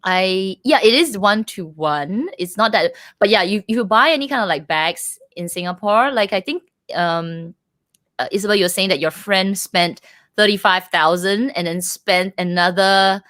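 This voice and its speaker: A woman's voice: mid-range voice, moderate pace, a bit bright, dry recording. Woman hosting a livestream chat, chatty, lots of likes, dry sound. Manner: chatty, laughs often, many likes and restarts. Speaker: livestream host. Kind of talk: casual chat.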